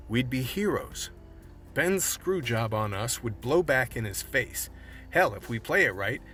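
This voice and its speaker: A man's voice: medium pitch, bright, forceful tone. A man playing a determined cop, earnestly persuading his team in a radio play. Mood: persuasive.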